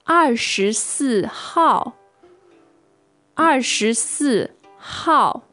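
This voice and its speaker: A woman co-hosting a podcast language lesson, modelling phrases with slow, careful pronunciation. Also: a little high, animated, a bit bright, no echo, faint music behind. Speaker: native-speaker co-host teacher.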